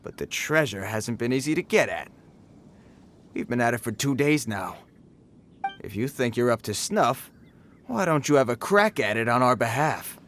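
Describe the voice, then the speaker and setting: A man's voice: mid-range voice, expressive, moderate pace, pauses often, a bit bright, slight background noise. A man voicing a streetwise bandit thug in a video game, taunting and slangy with a swagger. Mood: taunting, amused. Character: mocking, streetwise male bandit thug, rough-mannered.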